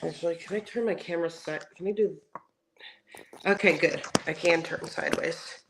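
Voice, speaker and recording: A woman with a deep voice co-hosting a casual livestream, playful and quick to giggle. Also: background noise. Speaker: livestream co-host.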